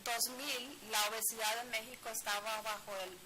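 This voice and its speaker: A woman interprets each point right after the speaker, with small fillers and self-corrections. Her voice sits a little high, and clear background noise runs under it. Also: steady tone. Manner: brisk, with fillers and restarts. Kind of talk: consecutive interpreting. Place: studio set, seated.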